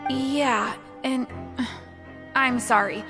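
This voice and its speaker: A guarded, nervous female society member from a video game. She speaks slowly and hesitantly in a fairly high voice, with music clearly behind. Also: steady tone, full character voice. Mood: uneasy, apologetic. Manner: hesitant, apologetic. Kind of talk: video game character acting.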